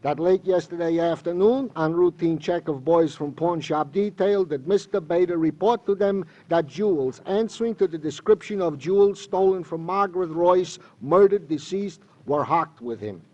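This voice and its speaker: A male actor performs a fussy, devoted New police sergeant with a high-set, very mellow man's voice. He talks in florid, mock-official roundabouts, as comic relief in a radio crime drama. The old recording sounds dulled. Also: moderate pace, few pauses, muffled recording, natural voice. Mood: officious.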